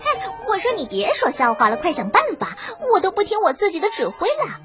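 An excitable little fox, played by a performer in a children's audio tale. The voice is very high and urgent, with a bossy, easily annoyed manner. The recording is a little dull. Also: fairly quick, over music, heavily acted. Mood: flustered. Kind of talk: children's audio story acting.

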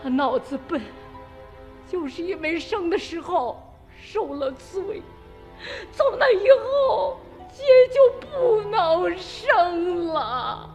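A woman's voice: very high voice, very animated, takes it slowly, darkish tone, long reverb tail, faint music behind, exaggerated voice. An actress playing a stubborn old village mother in a radio drama, emphatic and emotional, pleading for her son. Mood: tearful pleading. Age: older. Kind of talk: Chinese radio drama acting.

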